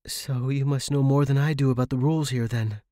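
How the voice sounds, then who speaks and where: A man's voice: mid-range voice, steady tone, fairly quick, dark tone, dry recording, clean studio sound, lightly acted. A man voicing an earnest, wary young woman writer who speaks politely and questioningly in a narrated story. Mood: curious.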